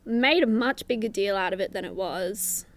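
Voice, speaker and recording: An actress playing a sassy, teasing young superhero speedster in a comedy radio play, talking conversationally. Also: female voice, medium pitch, normal speed, dry recording, close to an everyday voice. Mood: wry recounting.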